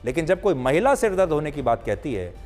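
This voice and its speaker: A man delivers a scripted news explainer with very animated intonation. His voice sits a little high, and he is didactic and deliberate over faint music. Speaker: television news presenter.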